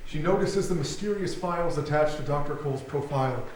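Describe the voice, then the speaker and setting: A man's voice: on the high side, fairly quick, a little mellow. A man reading scripted stage directions as the narrator of a staged theatre reading. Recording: very echoey theatre, distant mic, faint noise.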